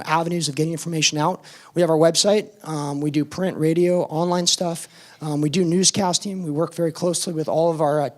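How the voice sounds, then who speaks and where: A hoarse man presents to a forum audience, his voice worn from weeks of teaching. He sits a little high for a man and talks in a loose, informal way, full of ums and uhs. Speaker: fire department battalion chief presenting at a forum.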